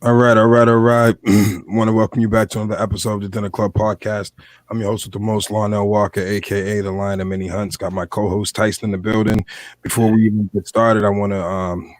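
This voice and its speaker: A man with an American accent speaks in a low, slightly hoarse voice. He hosts a casual podcast, feeling his way through his thoughts. The sound is dry, with very little room in it. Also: flat delivery, fairly quick, no echo. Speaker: podcast host.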